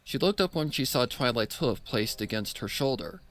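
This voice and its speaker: A man's voice: medium pitch, expressive, moderate pace, fairly bright tone, soft background music. A man reading a horror fan fiction story aloud as dramatic scripted narration.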